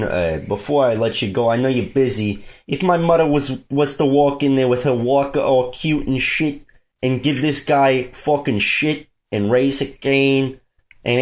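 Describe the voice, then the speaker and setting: Male performer as a disgruntled New Yorker, mid-range pitch, pushy and crude, sweet-talking between complaints on a prank call. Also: natural voice. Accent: New York. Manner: wheedling, sweet-talking, foul-mouthed complaining. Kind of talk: improvised prank phone call.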